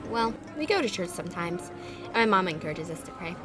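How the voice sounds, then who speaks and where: Woman playing a lively, friendly teenage girl. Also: lowish voice, brisk, music in the background, voice pushed down. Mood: chatty. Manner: chatty, eager, expressive. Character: friendly, curious teenage girl in a small town. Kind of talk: amateur audio drama acting.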